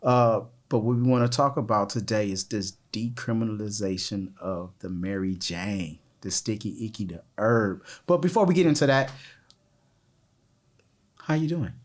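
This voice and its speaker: A man's voice: on the low side, moderate pace. A man hosting a casual podcast interview, playful and slangy, often repeating himself. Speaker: podcast host.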